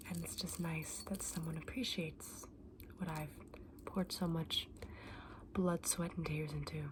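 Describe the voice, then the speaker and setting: Casting: actress as kindly, quirky female dragon-egg seller for ASMR roleplay; hushed breathy whisper, slightly low, polite old-fashioned wording, noticeable background noise. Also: close to an everyday voice. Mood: proud, wistful. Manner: gentle, polite, quaint old-fashioned phrasing. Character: kindly, eccentric fantasy shopkeeper woman selling dragon eggs. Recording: clearly audible background noise.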